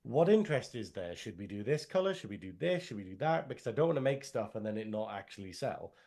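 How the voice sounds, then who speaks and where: A man with a northern English accent, chatting casually as a podcast co-host. His voice is hoarse from illness and he rambles and laughs often. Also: lowish voice, big pitch swings, fast talker, mellow. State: hoarse, lost voice. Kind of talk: casual chat.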